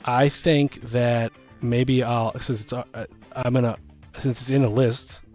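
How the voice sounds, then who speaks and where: A man with an average-pitched voice talks casually as a gaming podcast co-host. He rambles and pauses mid-thought, restarting as he works ideas out aloud, with music faintly behind him. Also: flat delivery, darkish tone. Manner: rambling, halting, thinking aloud. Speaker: podcast co-host and game master. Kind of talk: casual chat about tabletop gaming. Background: background music.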